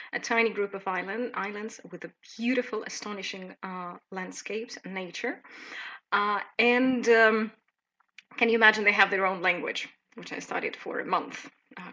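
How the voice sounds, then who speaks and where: A woman in her thirties with a Russian accent, presenting a live teaching webinar in a chatty, lively way with many fillers. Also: medium pitch, low-quality recording.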